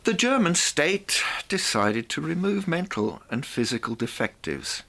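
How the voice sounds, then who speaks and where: A man presenting a reflective monologue in a theatrical, deliberate way, with rhetorical asides. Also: on the high side, big pitch swings, vocal fry, bright, forceful tone.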